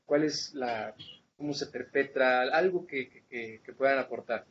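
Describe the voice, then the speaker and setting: A man's voice: mid-range voice, measured pace, fairly bright tone. A man leading an academic seminar, explaining didactically and checking in with rhetorical questions. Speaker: lecturer leading a seminar session. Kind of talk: academic lecture with questions to participants. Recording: muffled, noisy room recording.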